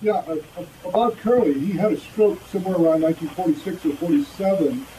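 A man's voice: high-pitched, fast talker, a crowd in the background, low-quality recording. A man in the audience at a club meeting, asking questions hesitantly with many fillers.

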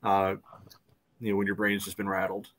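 A man's voice: deep voice, monotone, normal speed, fairly bright tone. A man co-hosting a casual soccer podcast over a video call, peppering his speech with "you know". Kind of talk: casual sports discussion.

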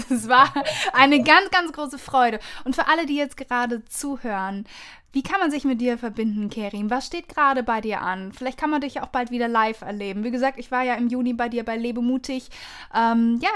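A woman's voice: a little high, normal speed, fairly bright tone, smooth. A woman hosting a podcast interview in an effusive, encouraging manner. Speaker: podcast host.

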